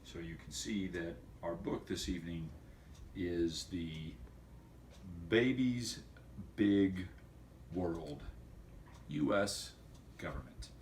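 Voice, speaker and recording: A man speaking as a teacher and host of a homemade video for students, in a folksy, wordy, deliberate way. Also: low-pitched, darkish tone, roomy sound, a little hiss or hum. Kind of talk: casual educational vlog for students, with read-aloud.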